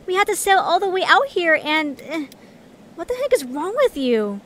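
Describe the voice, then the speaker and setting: A woman's voice: very high voice, sing-song, moderate pace, fairly bright tone, slight background noise, heavily acted. A woman playing a snarky, proud, hot-tempered young adventurer in an audio drama, sarcastic and scornful.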